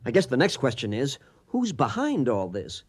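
An actor playing a blunt, excitable young archaeology assistant in a radio drama, talking emphatically and urgently. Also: male voice, medium pitch, moderate pace, husky. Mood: suspicious.

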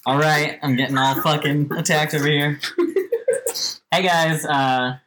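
A man's voice: on the high side, steady tone, a little slow, fairly bright tone, slight background noise, low-quality recording. A man of twenty-six co-hosting a casual group podcast with a wry, joking manner. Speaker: podcast co-host.

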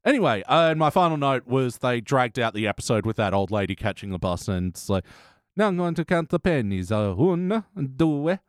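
Male host for a loose review podcast, middle pitch, close dry recording, sardonic and happy to swear. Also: big pitch swings, a little fast, dry recording. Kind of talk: casual chat, comedic episode review.

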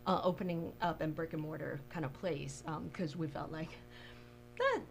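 A woman from Taiwan telling her story casually as an interview guest, halting and thinking aloud. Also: low-pitched, creaky, soft background music. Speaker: interview guest, bakery co-owner.

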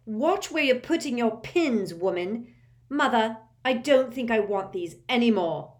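A spoiled, arrogant teenage boy from a storybook, sneering and snarling loudly. His voice is pitched up and pushed hard, as a woman reader plays him. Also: measured pace, close to an everyday voice.

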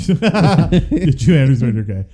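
A man with a very dark, relaxed voice recaps a film with his co-host. He talks with eager enthusiasm and drops casual curse words as he goes. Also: medium pitch, a little slow, slightly rough. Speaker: podcast co-host. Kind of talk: casual movie recap chat.

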